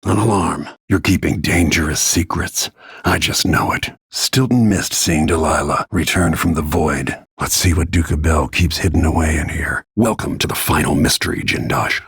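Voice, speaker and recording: An actor playing a grim, weathered middle-aged royal bodyguard turned assassin in a video game, gravelly and husky, brooding and terse. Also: male voice, extremely low pitch, moderate pace, a bit bright, no echo, studio quality, exaggerated voice.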